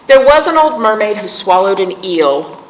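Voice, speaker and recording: A woman's voice: medium pitch, sing-song, normal speed. A woman hosting storytime for young children, reading aloud expressively in a sing-song way and putting questions to her listeners. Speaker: children's librarian, storytime host. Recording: echoey reverberant room, clear background noise.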